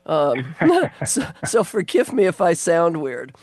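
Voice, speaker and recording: A woman's voice: lowish voice, huge pitch swings, slow, a little mellow, breathy edge, dry recording. A woman hosting a casual radio chat show, talking in a chatty, rambling way with many ums, her voice worn down by allergies. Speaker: radio host.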